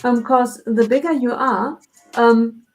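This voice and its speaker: A woman co-hosting a livestream chat, speaking slowly with many hesitant fillers. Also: a little high, soft background music. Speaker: co-host.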